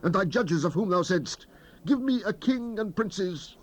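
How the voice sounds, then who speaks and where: A man's voice: high-pitched, expressive, measured pace. A man playing a solemn Old Testament prophet who speaks for God in a declamatory, oratorical manner, in a dramatized scripture recitation from the 1970s. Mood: wrathful, commanding. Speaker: dramatized Bible reader. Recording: dull hissy cassette tape rip.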